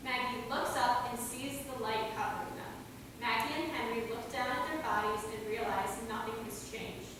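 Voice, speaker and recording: A woman's voice: a little high, fairly even intonation, moderate pace. Echoey, distant woman narrator. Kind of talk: scripted stage-direction narration. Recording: echoey hall, distant mic, noisy.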